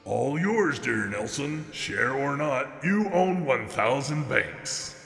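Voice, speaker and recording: A man's voice: medium pitch, expressive, slow, gravelly, fairly bright tone, voice pushed up, heavily acted. Grandiloquent demon played by a man, drenched in reverb. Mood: genial, showy. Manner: grandiloquent, rhyming, exclamatory speech. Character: theatrical demon, haughty at first, then genial and flattering. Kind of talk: dramatic poem reading. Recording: long reverb with music behind.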